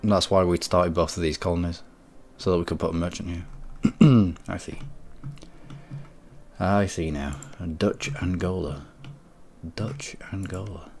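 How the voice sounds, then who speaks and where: Brief: congested male streamer, deep gravelly voice, rambling self-corrections over faint music. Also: fairly even intonation, a little slow, a little mellow. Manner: rambling, self-correcting, clears throat often. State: head cold. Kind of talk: casual game commentary.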